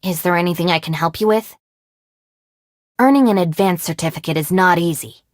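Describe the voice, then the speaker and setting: A woman's voice: fairly low pitch, a little fast, dry recording, lightly acted. A young woman voicing a capable, level-headed gang deputy in a video game, speaking in a composed, dry, matter-of-fact way. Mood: instructive. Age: young adult. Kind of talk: video game character voice lines.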